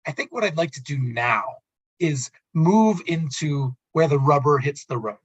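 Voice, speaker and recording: Male interviewer, conversational but stumbling over restarts, rough-edged voice, muffled echoey audio. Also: fairly high pitch, expressive. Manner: halting, repeats and restarts words. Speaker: radio talk show host.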